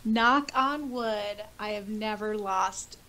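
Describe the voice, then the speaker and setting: A woman podcast co-host in casual chat, telling things in an animated, exclamatory way. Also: medium pitch, slow, poor audio.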